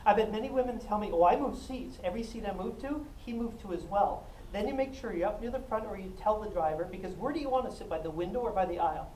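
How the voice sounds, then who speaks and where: A woman giving a self-defense talk, animated and jokey, often laughing and acting out what people said. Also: mid-range voice, fast, hardly any pauses. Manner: animated, jokey, laughs a lot, acts out quotes. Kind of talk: lively storytelling talk to a class. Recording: echoey room, mic at a distance, faint noise.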